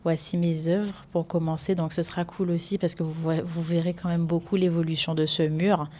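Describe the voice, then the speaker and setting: A woman's voice: lowish voice, little pitch movement, moderate pace, mellow, a little hiss or hum. A young woman from Guadeloupe introducing herself in her first vlog, speaking chatty and enthusiastic with casual fillers. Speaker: YouTuber. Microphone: microphone with camera in front.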